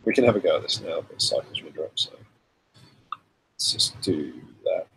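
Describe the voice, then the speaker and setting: A man with a cold and a slightly rough voice, hosting a casual live demo and answering viewer questions in a relaxed, chatty way. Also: sits low, moderate pace, slight background noise, poor audio. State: has a cold, voice a bit rough. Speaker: software developer hosting a live stream.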